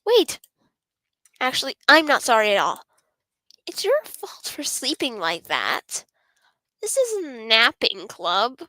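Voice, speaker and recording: A woman doing a bubbly, high-voiced schoolgirl. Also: wildly swooping pitch, slow, long pauses, bright, forceful tone, dry recording, heavily acted. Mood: teasing. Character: bubbly, earnest teenage schoolgirl in a literature club.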